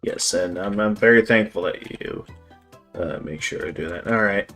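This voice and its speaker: A man hosting a game livestream, talking in a measured way with many "like"s. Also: on the low side, fairly bright tone, soft background music. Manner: measured, lots of "like" and "again". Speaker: streamer host. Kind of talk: casual livestream chat.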